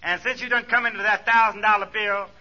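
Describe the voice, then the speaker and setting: An actor playing an excitable pal on the telephone in a 1940s radio comedy, talking in hesitant, folksy, ungrammatical phrases. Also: male voice, high voice, quick pace. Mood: eager. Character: excitable, grandly named pal phoning a friend. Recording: thin, muffled 1940s radio recording.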